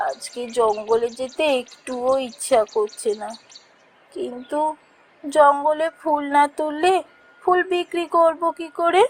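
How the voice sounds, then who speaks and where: A woman's voice: high voice, steady tone, slow. A woman voicing a poor, dutiful young village flower-seller, worried and earnest, in a fairy-tale cartoon. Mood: weary, unwell. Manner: plaintive, earnest.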